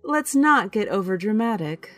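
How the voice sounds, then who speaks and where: A woman playing a sensible, skeptical friend in an audio drama, with a dry, measured manner. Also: medium pitch, expressive, faint music behind, natural voice.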